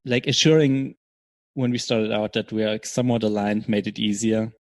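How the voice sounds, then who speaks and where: A man answering as an interview guest in casual chat, explaining things in a measured, hesitant way. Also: lowish voice, steady tone, dry recording. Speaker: podcast guest, software startup co-founder.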